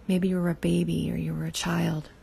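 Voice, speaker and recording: A woman guides a meditation in a heavily gravelly, breathy voice. She speaks softly and slowly, often sinking into a half whisper. Her pitch stays flat and even throughout. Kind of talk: guided inner-child meditation.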